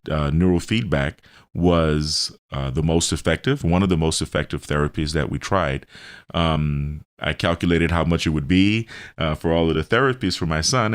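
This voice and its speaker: A middle-aged man answering questions in a radio interview, explaining his health services carefully and haltingly. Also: extremely low pitch, clean tone. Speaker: show host and health business owner being interviewed.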